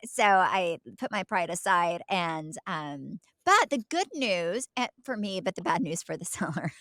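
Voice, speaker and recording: A middle-aged American woman co-hosting a podcast in an animated, teasing, casual chat, often repeating words for emphasis. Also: lowish voice, measured pace, no room sound. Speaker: podcast co-host.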